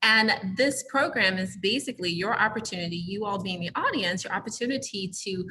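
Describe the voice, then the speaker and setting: A woman hosting a library entrepreneur talk, enthusiastic and quick to laugh. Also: mid-range voice, lively intonation, pushed and bright, a little hiss or hum, low-quality recording. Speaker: program host. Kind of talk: hosting an informal conversation.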